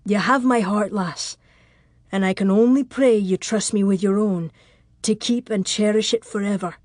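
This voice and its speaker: A woman's voice: mid-range voice, steady tone, moderate pace, darkish tone, no room sound, clean studio sound, close to an everyday voice. A woman voicing a brave, devoted medieval castle lord in an audiobook, earnest and using archaic words. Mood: tender, emotional. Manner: earnest, archaic speech with "ye" and "lass".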